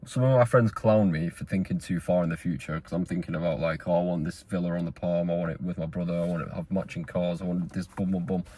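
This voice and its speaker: Earnest young man, very deep voice. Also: fairly even intonation, fast talker, few pauses, warm tone. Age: 20s. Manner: rambling, earnest, with fillers and restarts. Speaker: vlogger. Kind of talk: motivational talking-head monologue.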